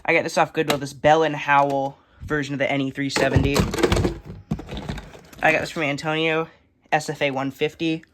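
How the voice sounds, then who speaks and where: A young man giving a casual, unscripted show-and-tell, halting and matter-of-fact as he lists items. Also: a little high, level tone. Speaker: hobbyist collector giving a tour. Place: indoors in a room, handling plastic bins. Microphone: handheld phone camera. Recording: noisy handheld recording with clattering.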